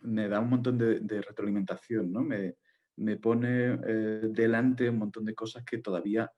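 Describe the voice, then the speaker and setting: Low-voiced Spanish man, halting. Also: fairly even intonation, a little mellow, highs cut off. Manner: halting, searching for words. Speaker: playwright. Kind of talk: post-show Q&A answers.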